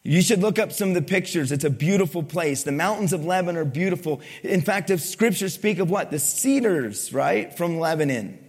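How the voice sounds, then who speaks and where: A male pastor preaching a sermon to his congregation, asking it questions and swinging between loud emphasis and soft, low lines. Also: expressive, normal speed, few pauses, husky. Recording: long hall reverb.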